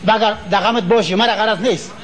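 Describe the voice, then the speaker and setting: Man acting a high-pitched wily Afghan fortune teller. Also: steady tone. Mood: pushy. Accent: Kabul Afghan. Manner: emphatic, repetitive, theatrical. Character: wily male fortune teller, bossy, greedy trickster. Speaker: stage comedy actor. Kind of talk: broad comedy stage play acting. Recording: old stage recording with loud audience.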